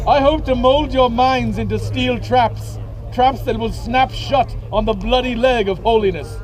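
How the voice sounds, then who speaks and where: A man's voice: extremely high pitch, measured pace, a little mellow, voice pushed up. A man voicing a stern, pious female headmistress, scolding and preachy, outdoors. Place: outdoors at a medieval combat event.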